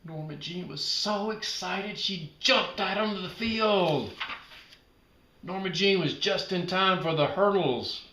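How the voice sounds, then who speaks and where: Slow, expressive man reading a children's story. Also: high-pitched, roomy sound, a little hiss or hum. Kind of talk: children's read-aloud narration.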